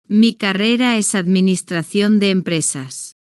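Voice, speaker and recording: Smooth, slow woman narrating for learners. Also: medium pitch, dry recording, clean studio sound. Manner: slow, deliberate, evenly paced short sentences. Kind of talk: slow scripted first-person story narration for beginners.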